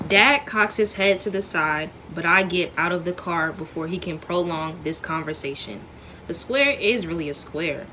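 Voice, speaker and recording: A woman reading a chapter of a novel aloud at home in a smooth, steady way. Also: medium pitch, moderate pace, a little hiss or hum, rough recording. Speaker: read-aloud reader.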